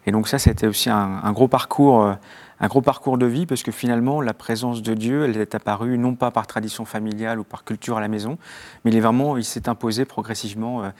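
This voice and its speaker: A young man from Belgium, speaking as an interview guest, soft-spoken and hesitant with many restarts as he tells his faith story. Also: on the low side, steady tone, fast talker. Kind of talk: reflective faith testimony interview.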